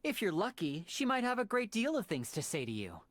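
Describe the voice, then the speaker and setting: A woman voicing a charming young male stage magician in a video game, speaking with smooth, teasing, theatrical politeness. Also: mid-range voice, wildly swooping pitch, a little fast, no echo. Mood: playful, teasing. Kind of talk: scripted video game character dialogue.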